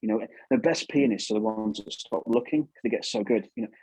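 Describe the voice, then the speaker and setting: A man's voice: mid-range voice, brisk, a little mellow, slightly rough, dry recording, low-quality recording. A man giving casual advice as an interview guest, chatty and repeating himself for emphasis. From England.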